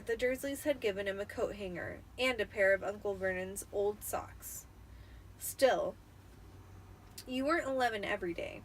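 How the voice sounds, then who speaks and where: A tipsy woman reading a story aloud in an expressive, dramatic audiobook style, with the occasional stumble. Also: medium pitch, low-quality recording. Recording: noticeable background noise.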